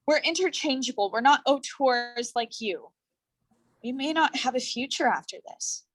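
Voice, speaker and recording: Young woman, somewhat high-pitched. An actress playing a guarded, stubborn performer; blunt, defensive, stage drama delivery. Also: moderate pace. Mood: resigned, firm. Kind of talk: staged reading of a drama play.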